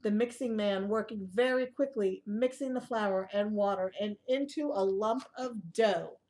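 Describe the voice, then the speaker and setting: A woman reading a picture book aloud to young children in an expressive way, with asides and questions to the listeners. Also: medium pitch, measured pace.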